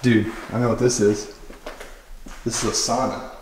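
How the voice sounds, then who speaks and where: A man's voice: mid-range voice, moderate pace, a little hiss or hum. Young man exploring an echoing empty mansion. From Oklahoma. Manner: excited, slangy, laughs often. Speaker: urban explorer vlogger. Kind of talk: casual walkthrough narration. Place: large empty unfinished house, echoing rooms. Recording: very echoey empty rooms.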